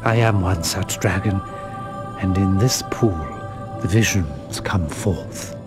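A man playing a wise, solemn old dragon mentor in a video game, gravelly, with a slow, measured and grave delivery. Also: low-pitched. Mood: solemn, patient. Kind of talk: dramatic video game cutscene acting. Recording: music behind the voice.

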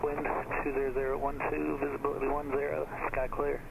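A male voice reading an airport weather report slowly and flatly over aviation radio. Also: a little high, gravelly. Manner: flat, slow reading. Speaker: automated airport weather broadcast. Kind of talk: recorded weather information. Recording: noisy crackly radio.

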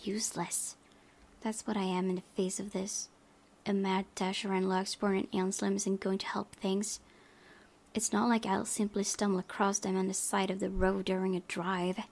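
A young woman reads visual novel narration aloud as a let's play host. Her voice is mid-pitched with noticeable vocal fry. She reads expressively, like telling a story. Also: flat delivery, moderate pace, darkish tone.

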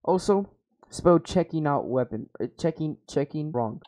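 A man's voice: a little high, lively intonation, moderate pace, mellow. A young man with a headset, reacting to his old gaming videos in rambling, self-mocking casual talk that swears freely. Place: small room at home. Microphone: over-ear headset mic.

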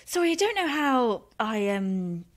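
An actress playing a lonely, eager-to-please romance novelist in an audio drama, hesitant and apologetic. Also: female voice, a little high, big pitch swings, unhurried. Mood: flustered, polite. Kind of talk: naturalistic audio drama acting.

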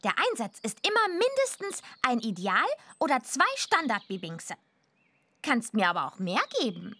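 A woman's voice: fairly high pitch, very animated, moderate pace, pushed and bright, husky, full character voice. A woman playing a cocky, teasing punk teenage witch girl in a children's computer game, with a boastful, slangy way of talking. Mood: sly, teasing. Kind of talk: animated children's game voice acting.

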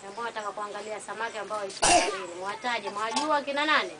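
A woman's voice: medium pitch, racing. A woman interpreting live for young children in a conversational way, often adding short checking questions. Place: open-sided thatched hall with children. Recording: very noisy hall, recorded from a distance.

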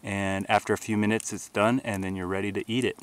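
A man's voice: lowish voice, fairly even intonation, fast talker, clear, resonant tone. A man in his forties explaining outdoor survival cooking in a plain, matter-of-fact way with frequent filler words. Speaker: bushcraft video host. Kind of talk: outdoor how-to narration. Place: outdoors in a grassy park.

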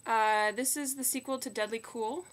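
Young woman a bit croaky from a cold, higher voice, chatty book talk on her webcam. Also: steady tone. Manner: chatty, upbeat, quick asides. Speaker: book vlogger. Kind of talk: casual book haul chat. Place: bedroom at home. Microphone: webcam at desk.

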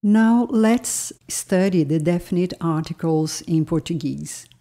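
Slow, mellow-voiced woman teaching a language. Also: fairly low pitch, faint music behind. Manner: slow, careful, spells out words. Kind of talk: scripted bilingual lesson narration.

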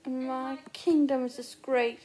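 A woman's voice: sits high, flat delivery. A young woman playing a dramatic, self-pitying, petulant teenage girl in a theatrical amateur film-scene reenactment. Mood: solemn, defiant. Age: young adult. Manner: theatrical, declamatory, drawn-out. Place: indoor room at home. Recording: noisy handheld phone recording.

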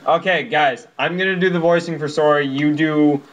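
Fairly high, flat young gamer. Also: male voice, moderate pace, lo-fi sound, a little hiss or hum. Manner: flat, matter-of-fact. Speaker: let's play co-host. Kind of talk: casual gaming banter.

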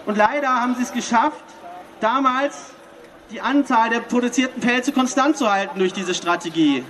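A man's voice: very high voice, normal speed, fairly bright tone. A man giving an explanatory talk, recorded from a little way off. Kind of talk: explanatory speech. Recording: distant voice with faint noise.

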